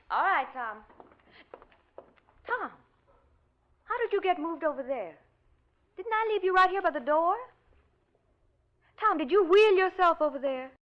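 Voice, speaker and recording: An actress playing a cheerful American nurse, coaxing and teasing a silent patient with chirpy one-sided patter in an old radio drama. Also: female voice, very high-pitched, exaggerated voice. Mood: surprised, soothing. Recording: dull old radio recording, background noise.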